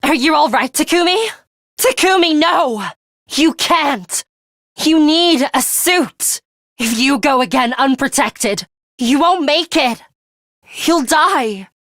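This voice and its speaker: A woman voicing a composed, battle-hardened young woman in an English video game, speaking in a deliberate, matter-of-fact way. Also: high voice, a bit bright, no echo, clean studio sound, full character voice. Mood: alarmed, desperate. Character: young woman, composed battle-hardened time-looper, coolly urgent ally.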